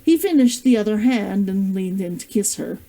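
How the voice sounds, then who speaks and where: A woman reading her own novel aloud in an expressive storytelling style. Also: medium pitch, moderate pace. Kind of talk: expressive audiobook-style narration.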